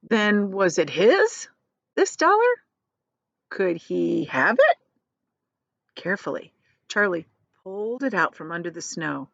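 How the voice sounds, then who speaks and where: A woman reads a children's story aloud, expressively, with deliberate, dramatic emphasis on key words. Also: medium pitch, sing-song, vocal fry, fairly bright tone, dry recording, dull audio. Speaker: teacher reading aloud.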